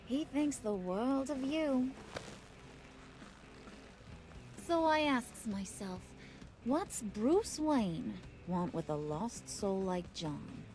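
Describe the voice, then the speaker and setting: An actress with an American accent playing a playful, menacing young villainess in a video game, teasing in sing-song slang with mock sweetness. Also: female voice, on the high side, lively intonation, slow, darkish tone, soft background music. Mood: mock pity.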